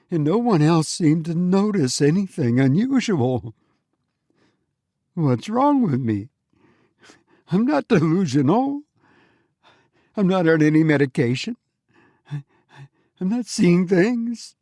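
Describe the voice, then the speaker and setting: A man hosting a podcast and telling stories informally in the first person, with a halting, hesitant delivery. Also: on the high side, animated, mellow, no room sound, clean studio sound. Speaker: podcast host and narrator.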